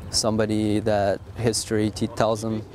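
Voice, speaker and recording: Flat-voiced young army officer. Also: male voice, fairly low pitch, normal speed, crowd noise. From born in Russia, raised in Texas. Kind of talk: casual interview answers.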